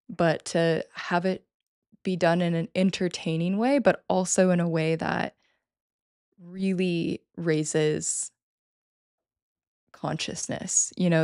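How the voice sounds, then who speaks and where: Woman guest, gravelly and fairly monotone, chatty and keen. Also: lowish voice, dry recording, clean studio sound. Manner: enthusiastic, many fillers and restarts. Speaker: podcast guest. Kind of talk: casual interview talk.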